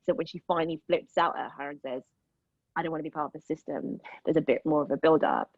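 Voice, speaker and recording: A woman giving casual, appreciative feedback as a cast member, speaking in halting phrases. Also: low voice, fairly even intonation, darkish tone, no echo, lo-fi sound. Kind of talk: casual discussion.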